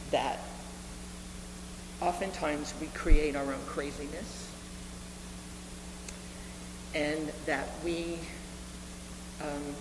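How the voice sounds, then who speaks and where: Echoey low-voiced older woman preaching. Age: 70s. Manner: earnest, deliberate, with repeated phrases for emphasis. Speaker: reverend preaching to a congregation. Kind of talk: sermon. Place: reverberant meeting hall with a congregation. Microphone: live speaking microphone. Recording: echoey hall, noisy room with hum.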